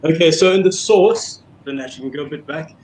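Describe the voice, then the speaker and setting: A man's voice: fairly high pitch, a little fast, darkish tone, roomy sound, a little hiss or hum, poor audio. A man with a South African accent, a guest chef talking viewers through his dish over a laptop video call. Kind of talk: casual cooking demo on video call.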